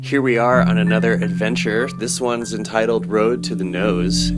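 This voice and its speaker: A man with a head cold narrating a climbing trip in a relaxed, rambling way. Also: sits low. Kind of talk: casual narration. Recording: loud guitar music behind.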